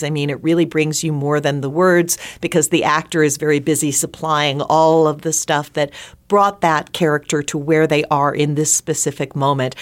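Very low-pitched woman, thoughtful interviewee. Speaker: theater artist interviewed about a production. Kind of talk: conversational interview explanation.

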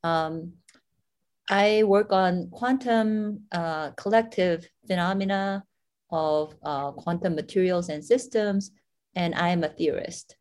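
A woman from Korea introduces herself as a physics professor, her voice sitting a little low. She pauses often, filling the gaps with ums as she goes. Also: darkish tone. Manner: hesitant, frequent ums. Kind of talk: video call introduction.

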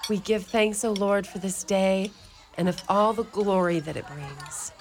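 A woman acts out an elderly mother superior in a radio drama. She speaks at a mid pitch, briskly and firmly, with a motherly, tender authority. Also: fairly even intonation, natural voice. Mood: wistful. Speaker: community radio play actor. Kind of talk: community radio play acting.

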